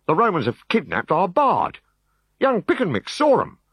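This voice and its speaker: A man voicing a brisk, level-headed Gaulish warrior. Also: high voice, wildly swooping pitch, a bit bright, slightly rough, dry recording, voice pushed up, full character voice.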